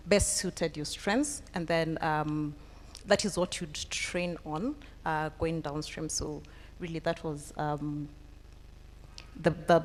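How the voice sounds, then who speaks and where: A woman with a Kenyan accent speaking unscripted on a panel as a trainer, halting as she thinks aloud. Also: lowish voice. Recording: echoey hall with long reverb.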